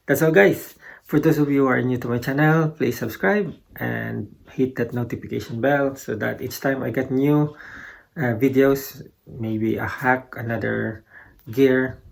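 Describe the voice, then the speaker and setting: A man with a gravelly, fry-edged voice speaks very slowly in an unscripted vlog style. He sounds modest and a little halting, pausing as he addresses his viewers about gear. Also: mid-range voice. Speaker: guitar gear video creator. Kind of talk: casual unscripted vlog talk.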